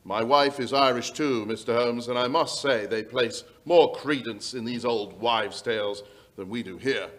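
A man's voice: mid-range voice, moderate pace, a bit bright, close to an everyday voice. A middle-aged man playing a worried, polite aristocratic landowner, telling his tale hesitantly in old-time radio style. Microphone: shared stage microphone.

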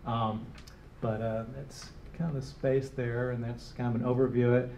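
A man's voice: medium pitch, level tone, normal speed, creaky, mellow. Rambling man on a roomy handheld mic. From Detroit. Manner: rambling, many fillers and restarts. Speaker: interviewed designer. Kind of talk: casual onstage interview. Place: small gallery room, live audience. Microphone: handheld microphone. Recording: roomy, distant, faint hiss.

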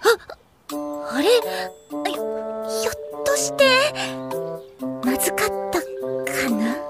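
A woman playing a spirited teenage martial artist girl in an anime audio drama, with a brisk, casual manner. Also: high-pitched, huge pitch swings, loud music behind the voice, heavily acted. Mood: sheepish.

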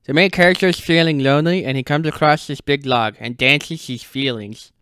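Man doing a bright, excitable teenage cartoon boy. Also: fairly high pitch, brisk, slight background noise, pitched above the performer's own voice, close to an everyday voice. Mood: dreamy, excited.

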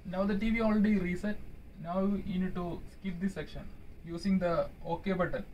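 A young man on a ship's crew demonstrating hotel TV setup in a small cabin, speaking halting English with fillers. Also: high voice, steady tone, darkish tone, recorded from a distance, dull audio, slight background noise. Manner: halting, explanatory, non-native English with fillers. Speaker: ship electrician demonstrating TV setup. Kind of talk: casual how-to demonstration. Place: small cruise ship cabin. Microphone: phone camera held by speaker.

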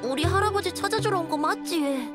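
Stubborn young village boy, high and pleading. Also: lively intonation, brisk, over loud music. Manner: earnest, pleading, insistent. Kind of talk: game dub voice acting.

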